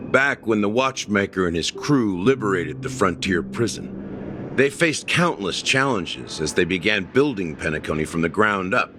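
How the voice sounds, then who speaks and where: An actor voicing a scheming middle-aged man in a game cutscene, measured and wry as he explains. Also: low voice, background noise. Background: game score music.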